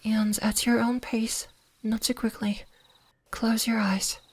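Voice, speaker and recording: Woman as a slow, soft-spoken gentle fox spirit. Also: fairly high pitch, flat delivery, slightly rough. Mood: soothing. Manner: soft-spoken, soothing, hesitant, at times whispering. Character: gentle, curious female kitsune fox spirit guarding woods. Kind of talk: ASMR roleplay.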